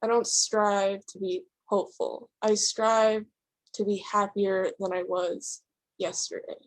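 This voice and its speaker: Slow, deliberate woman, medium pitch. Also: level tone. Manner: measured, with short deliberate phrases. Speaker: solo performer being interviewed. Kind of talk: candid reflective answer.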